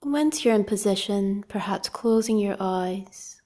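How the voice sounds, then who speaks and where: A woman leading a guided meditation as a teacher, giving calm, gentle, unhurried instructions with long pauses and a soft voice that turns slightly breathy at phrase ends. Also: mid-range voice.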